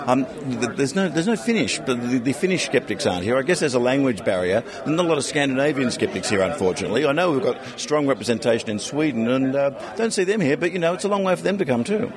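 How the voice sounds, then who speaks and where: A man's voice: mid-range voice, big pitch swings, fast talker, few pauses. A middle-aged man with an Australian accent, chatting casually as a podcast interviewer. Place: busy convention venue. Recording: crowd chatter behind the voice. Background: crowd chatter.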